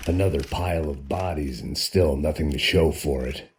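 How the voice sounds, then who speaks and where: An actor playing a middle-aged, bitter American ex-cop who narrates in a brooding, deadpan noir style. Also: male voice, a bass voice, moderate pace, a little hiss or hum. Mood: bitter, resigned. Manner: world-weary, brooding, deadpan. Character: weary, bitter, self-loathing American ex-cop antihero. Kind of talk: scripted noir voice-over narration and game dialogue.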